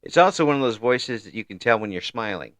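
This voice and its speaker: A man in his fifties hosting a casual chat interview, talking in a halting, rambling way with repeated words. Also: on the low side, animated, a bit bright, no room sound. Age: 50s. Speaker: interview show host.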